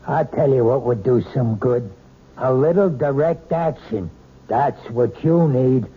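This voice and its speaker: An actor playing an overbearing, curt husband in an old radio drama. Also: male voice, medium pitch, measured pace, husky. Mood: domineering. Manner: curt, domineering. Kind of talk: 1970s radio drama acting. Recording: dull old radio broadcast with faint hiss.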